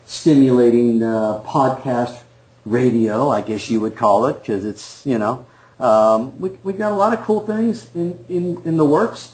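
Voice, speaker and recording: A man's voice: medium pitch, a little mellow, rough recording. A man in his fifties co-hosting a bowling podcast in casual, joking, self-deprecating chat. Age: 50s. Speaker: podcast co-host.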